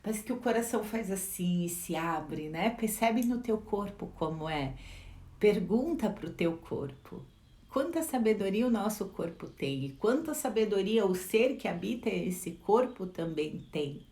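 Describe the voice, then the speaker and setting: A woman's voice: medium pitch, lively intonation, a little slow, dark tone. A middle-aged Brazilian woman, a life educator, gives a reflective teaching talk with a Brazilian Portuguese accent, posing rhetorical questions and adding frequent tag questions. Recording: echoey room.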